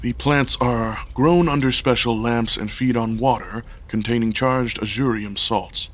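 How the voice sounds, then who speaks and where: An actor playing an irritable, long-legged head of a tech company in an audio drama, speaking in a terse, dry, weary manner. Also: male voice, medium pitch, unhurried, close to an everyday voice. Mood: dry, impatient.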